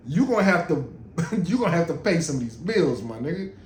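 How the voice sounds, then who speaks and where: A man, co-hosting a podcast in loud, joking, profane banter. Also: on the high side, big pitch swings, a little fast, reverberant, slight background noise. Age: 30s. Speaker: podcast co-host. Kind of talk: casual podcast chat.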